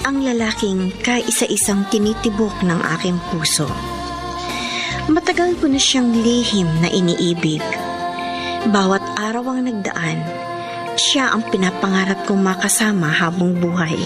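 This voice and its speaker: A woman's voice: medium pitch, unhurried, lightly acted. An actress with a Filipino accent playing a wistful, lovelorn woman confiding her secret heartbreak in a radio drama narration. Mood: wistful longing. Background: music bed.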